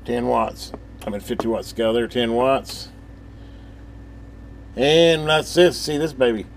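A man's voice: mid-range voice, fairly even intonation, measured pace, vocal fry, background noise. A man giving a casual, rambling hands-on radio demonstration, thinking aloud in a folksy, informal way. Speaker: hobbyist presenter demonstrating a CB radio.

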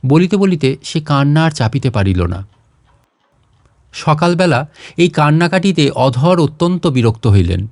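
A man's voice: fairly high pitch, big pitch swings, unhurried, darkish tone, dry recording. A man narrating a literary short story as a scripted audiobook reading.

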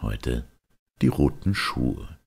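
Very deep, gravelly male storyteller. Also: expressive, slow pace. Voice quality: gravelly, husky. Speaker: audiobook narrator. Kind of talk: expressive fairy tale storytelling.

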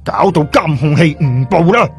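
A man's voice: fairly high pitch, expressive, normal speed, soft background music. A man voicing a cocky, scoffing tough guy in an audiobook, boastful and sneering. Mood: cocky, dismissive. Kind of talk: audiobook character dialogue.

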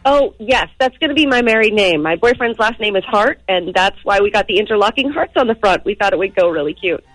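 A woman's voice: medium pitch, lively intonation, fairly quick, fairly bright tone, no echo. A woman on a phone call as an upset customer, exasperated and emphatic. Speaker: prank call victim, customer.

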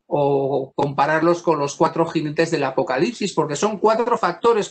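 A man from Spain giving an academic law lecture over a video call, pausing often and restarting his sentences. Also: on the high side. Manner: thoughtful, many pauses, fillers and restarts.

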